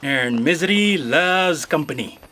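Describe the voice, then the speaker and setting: A woman's voice: low voice, lively intonation, a little slow, fairly bright tone. A woman playing a dutiful office aide in a comic audio drama, dry and snide in manner.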